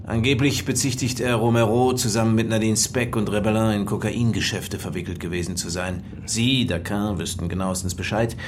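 A man playing a dry, sceptical police inspector in an audio drama, measured and pointed. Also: fairly low pitch, steady tone, background noise, close to an everyday voice. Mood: grave. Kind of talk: German audio drama acting.

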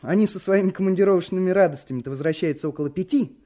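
An actor playing a breezy, wisecracking police operative in a Russian radio drama, giving clipped reports with a jaunty, playful manner. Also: male voice, sits high, racing, dark tone, natural voice. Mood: brisk reporting.

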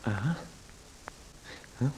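An actor playing a nervous, overly polite playwright in a radio play, apologetic and stammering. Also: male voice, extremely slow, a little mellow, rough recording.

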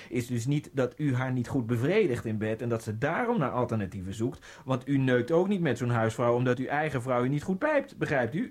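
An actor playing a nervous, fawning immigrant man who rambles in a radio play. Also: sits high. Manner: rambling, obsequious, slides into bitter ranting. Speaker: radio drama lead. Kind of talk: radio play acting with inner monologue.

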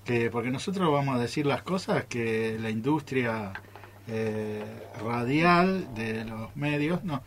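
A man's voice: mid-range voice, animated, slow, slight background noise. A man with an Argentine accent speaking casually in a group interview as an amateur radio theatre performer. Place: radio studio.

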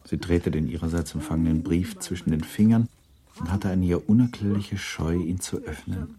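A man narrating a literary radio play in a grave, measured, restrained manner. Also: sits low, warm tone. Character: grave, restrained narrator of a 19th-century novel.

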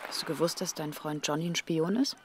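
A woman's voice: low voice, brisk, slight background noise. An actress playing a defiant, distrustful young woman in a radio drama, blunt and challenging. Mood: wary, terse. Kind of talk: naturalistic radio drama acting.

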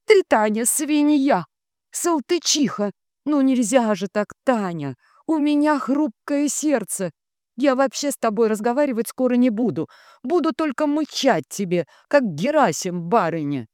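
A storybook psychic woman, shrill-pitched, loud, anguished, moaning, grumbling, drawn-out calls. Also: expressive, no room sound, studio quality. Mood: furious bellowing. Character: hysterical, moaning, grumbling heavy female psychic medium.

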